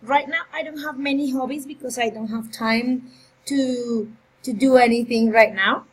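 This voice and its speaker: A woman's voice: a little high, steady tone, normal speed, slightly rough. A woman in her thirties from Colombia, an English teacher giving an encouraging online lesson over a video call. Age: 30s. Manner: friendly, encouraging, switches between Spanish and English.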